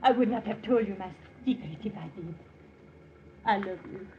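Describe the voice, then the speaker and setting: A woman's voice: mid-range voice, normal speed, close to an everyday voice. A woman playing a seductive, devoted French wartime spy with a French accent, earnest and dramatic. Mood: pleading, passionate. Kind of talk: 1940s-50s radio melodrama acting. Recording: muffled, noisy old radio recording.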